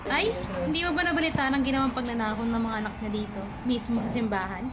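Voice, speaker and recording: Radio drama: woman as scandal-spreading neighbour, rapid talk, noticeable background noise. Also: on the high side, steady tone, lightly acted. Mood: scandalized. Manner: gossipy. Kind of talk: radio play acting in Filipino.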